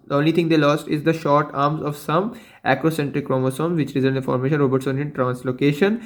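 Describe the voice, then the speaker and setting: A man lecturing in an explanatory science lesson, didactic, posing questions and then answering them himself. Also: on the high side. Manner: didactic, asks and answers his own questions.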